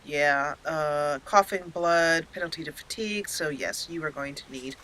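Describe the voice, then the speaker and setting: A woman hosting a gaming channel, giving expressive roleplay commentary and storytelling while talking to the game characters. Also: low voice, normal speed.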